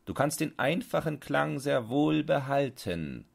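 A man's voice: on the high side, big pitch swings, measured pace, creaky, voice pushed up, full character voice. A man voicing a condescending, domineering dog owner with an angry temper in a dramatised storybook reading. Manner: condescending, commanding, sharply insistent.

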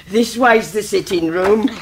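A woman's voice: mid-range voice, a little hiss or hum. An actress playing a deferential, colloquial English landlady in a radio drama. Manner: slow, obsequious, colloquial.

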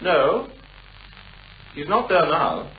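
Gentleman chum for radio play: upper-class English, hearty, jaunty, very lively intonation, dull vintage sound. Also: fairly high pitch, extremely slow, background noise, exaggerated voice. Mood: breezy. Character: breezy, clubbable gentleman, the novelist's sociable male friend. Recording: dull old radio recording.

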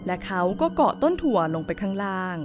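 A woman's voice: mid-range voice, big pitch swings, brisk, over music. A woman narrating a scripted children's fairy tale as a storyteller.

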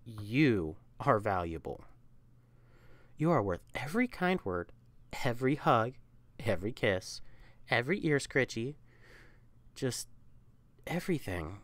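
A woman's voice: very deep voice, no echo, full character voice. A woman voicing an affectionate, protective young catperson comforting a lover in a roleplay audio, tender, coaxing and emphatic.

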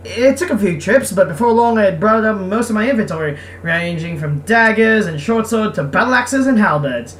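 A woman's voice: medium pitch, animated, moderate pace, few pauses. A woman gamer reading a visual novel aloud, breaking off for casual, irreverent, sweary commentary. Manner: irreverent, sweary, self-interrupting. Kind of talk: casual playthrough commentary and narration. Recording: distant mic, faint background noise.

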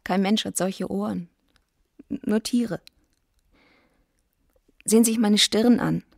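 An actress playing a tormented, despairing young woman in a radio drama, speaking in a halting, brooding way. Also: mid-range voice, steady tone, creaky, a little mellow, no room sound, clean studio sound. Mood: anguished. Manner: halting, brooding, self-loathing.

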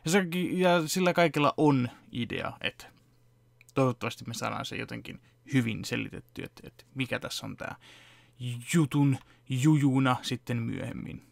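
A man's voice: mid-range voice, creaky, no room sound. A young man casually explaining his fan dubbing work step by step at his home desk, talking close to the camera. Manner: relaxed, explaining step by step with fillers. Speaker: vlogger and fan dubber. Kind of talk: casual behind-the-scenes chat. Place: home room at a computer desk.

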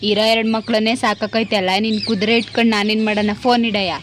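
A woman's voice: medium pitch, little pitch movement, fast. A woman with a rural southern Karnataka Kannada accent on a casual phone call, speaking bluntly in an exasperated, scolding way. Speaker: prank call recipient. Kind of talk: casual colloquial phone talk. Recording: phone call with faint noise.

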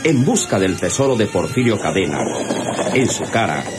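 A man's voice: low voice, big pitch swings, normal speed. A man giving dramatic scripted narration as the narrator of a radio serial. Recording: old radio serial, loud music behind.

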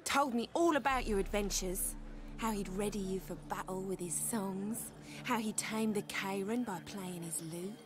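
A woman's voice: mid-range voice, normal speed, noisy background, natural voice. A woman acting a naive, gushing young woman smitten with a bard in a video game, dreamy and chatty. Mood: wistful, chatty.

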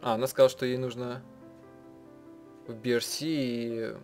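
A man's voice: medium pitch, flat delivery, darkish tone. A man translating a visual novel aloud and commenting casually, often stopping to rephrase as he goes. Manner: halting, often rephrasing mid-sentence. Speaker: game player translating and voicing a visual novel. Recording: music playing behind the voice. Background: game soundtrack music.

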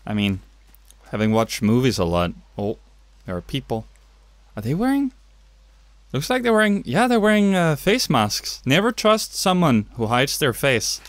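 A man's voice: mid-range voice, wildly swooping pitch, normal speed, no room sound. Danish guy doing game commentary, bouncy voice, dry room, cracks jokes at himself. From Denmark. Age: young adult. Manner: jokey, chatty, self-mocking asides. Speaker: gaming YouTuber. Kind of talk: let's play commentary. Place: home gaming setup, indoors.

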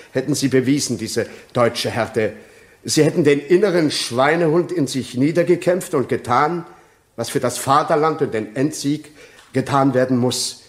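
An actor playing an earnest former soldier testifying in a radio crime drama, sober, deliberate and respectful. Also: male voice, fairly high pitch, lightly acted.

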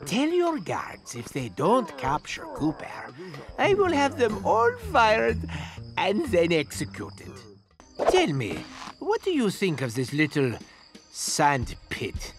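A man's voice: high voice, wildly swooping pitch, slow, music in the background, exaggerated voice. A man voicing a haughty, imperious villain who talks down menacingly, in a video game cutscene. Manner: pompous, menacing, condescending. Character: haughty French-accented male villain, imperious and cruel. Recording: game score underneath.

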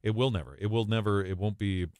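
Deep-voiced, effusive announcer man. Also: steady tone, fast talker, a little mellow, no room sound, clean studio sound. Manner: effusive, emphatic. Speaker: guest, arena announcer.

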